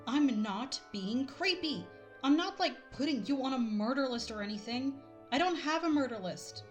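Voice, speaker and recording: A woman's voice: high-pitched, expressive, normal speed, faint music behind. A woman playing a fierce, awkward young female dragon in an audio drama, brash and defensive. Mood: flustered, defensive.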